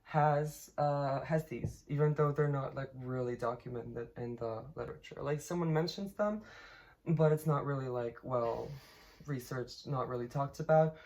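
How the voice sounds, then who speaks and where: A woman's voice: very deep voice, moderate pace, a little mellow. A young woman from Poland, casually explaining her thesis with many fillers, restarts and laughs. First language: Polish. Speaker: linguistics student.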